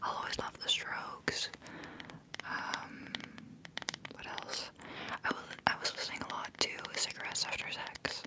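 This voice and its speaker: A Dutch woman with an American-sounding accent in English, whispering breathily in a casual, chatty, playful way as she hosts an ASMR livestream and answers chat. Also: measured pace. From Netherlands. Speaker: livestream host, ASMR creator.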